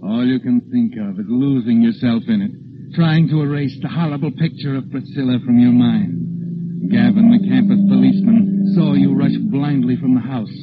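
A man's voice: medium pitch, moderate pace, close to an everyday voice. An ominous, sardonic storyteller played by a man taunts a guilty soul with knowing deliberation. It is 1940s radio mystery narration, on a dull old recording with music playing behind.

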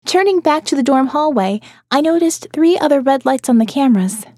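A woman's voice: high-pitched, lively intonation, a little fast, a little mellow, dry recording, clean studio sound. A woman narrating first-person audio fiction in a wry, sardonic manner.

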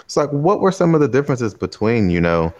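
A man's voice: medium pitch, sing-song, a little fast, no room sound, dull audio. A man hosting a podcast interview, asking long, rambling questions full of "you know" in a casual chat. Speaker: podcast host. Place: lives in Taiwan.

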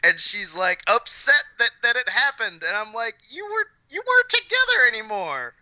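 A man's voice: very high voice, huge pitch swings, moderate pace, pushed and bright, no room sound, low-quality recording. A man chatting casually with friends as a podcast host, speaking in an opinionated, blunt and sweary way.

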